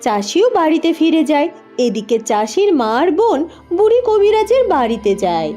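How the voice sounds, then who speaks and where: A woman's voice: sits high, sing-song, normal speed, warm tone, faint music behind. A woman giving scripted storytelling narration for a cartoon fairy tale.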